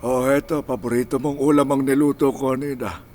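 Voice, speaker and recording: A man playing a gentle, worried elderly grandfather in a radio drama, speaking in a soft, pleading, sorrowful way. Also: medium pitch, steady tone, a little fast, noisy background, lightly acted. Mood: hesitant, pleading. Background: clearly audible music.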